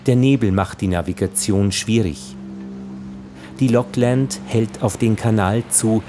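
A man's voice: on the low side, unhurried, over music, natural voice. A man playing a grave announcer of perilous seas in scripted dramatic narration. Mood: grave, ominous.

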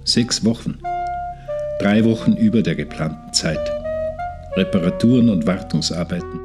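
Radio drama narrator: male actor as sleepless seaman, lowish voice, measured and brooding log entries. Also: music in the background, natural voice. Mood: sombre, weary. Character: sleepless, troubled seaman reading his ship's log. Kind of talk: radio play diary narration.

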